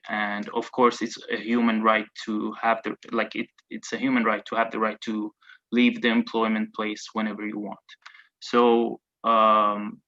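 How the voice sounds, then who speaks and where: A man with a lowish, flat voice and a bright, forward tone talks haltingly, filling pauses with frequent ums and restarts. His audio sounds dull and lo-fi, like a muffled call. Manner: halting, many fillers and restarts. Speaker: migrant rights advocate. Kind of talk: online panel discussion. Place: in Bahrain.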